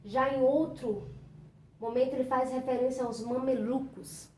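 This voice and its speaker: A woman's voice: sits high, steady tone, normal speed, darkish tone. A woman from Brazil presenting and explaining a book chapter in an earnest, informal way, often saying "então" and "né". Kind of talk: informal spoken book commentary. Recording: echoey room, faint noise.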